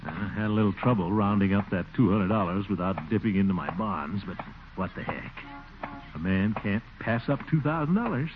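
A pompous middle-aged small-town schemer acted by a man in an old radio comedy. He blusters and boasts with big swoops of pitch and self-satisfied chuckles. The broadcast is narrow-band and scratchy, with faint noise. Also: lively intonation, normal speed, close to an everyday voice. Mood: smug. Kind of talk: 1940s radio comedy acting.

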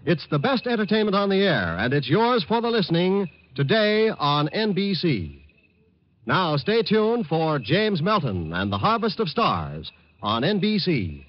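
A man's voice: high voice, very animated, unhurried. A man with an American accent announcing in a formal, polished late 1940s radio style. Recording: muffled old radio broadcast, music behind.

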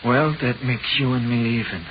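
A man's voice: mid-range voice, normal speed, natural voice. An actor playing a resigned, haunted American hoodlum in slangy tough-guy talk, in 1940s radio horror style. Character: condemned hoodlum, resigned and haunted, telling his ghost story. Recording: muffled, hissy 1940s radio recording.

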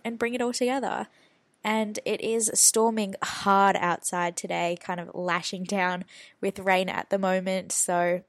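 A woman's voice: fairly low pitch, no room sound, clean studio sound. A woman in her twenties hosting a podcast in casual, rambling chat, sounding a little nasal from a head cold. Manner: chatty, rambling, many fillers. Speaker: podcast host.

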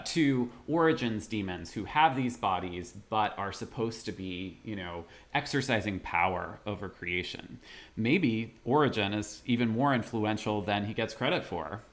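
A man's voice: fairly low pitch, animated, moderate pace. A man co-hosting a podcast, discussing theology in a casual scholarly way with jokey asides. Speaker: podcast co-host.

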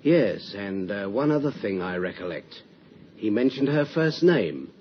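Posh-mannered office boss in an old radio play, gossipy, hesitant, very up and down, faint hiss, dull sound. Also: male voice, on the low side, sing-song, measured pace. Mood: recollecting. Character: concerned, gossipy, paternal male company boss. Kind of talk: old-time radio drama acting. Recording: muffled, noisy old radio recording.

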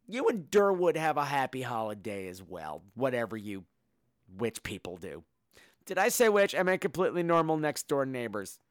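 A man's voice: mid-range voice, fairly bright tone, clear, resonant tone, no room sound, clean studio sound. A man hosting a podcast in casual, campy comedic chat, full of sassy, mock-indignant banter. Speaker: podcast host.

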